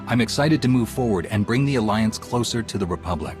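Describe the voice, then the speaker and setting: An actor playing a calm, resolute Jedi commander in a video game, speaking in a measured, earnest, authoritative way. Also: male voice, fairly low pitch, music in the background, lightly acted.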